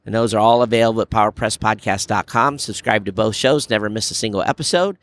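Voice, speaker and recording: Low-pitched man hosting a casual podcast. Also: quick pace, fairly bright tone. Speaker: podcast host. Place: booth in a very large trade show hall. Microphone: podcast microphone.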